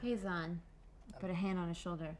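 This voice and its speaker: A woman's voice: lowish voice, fairly quick, darkish tone. A woman playing a tabletop game, speaking in casual banter with the other players.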